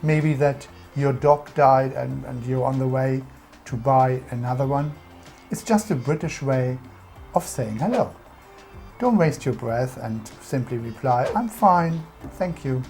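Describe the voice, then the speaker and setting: A woman's voice: a bass voice, measured pace, warm tone, soft background music. A woman with a German accent, narrating a scripted explainer about English culture in an instructive, emphatic way.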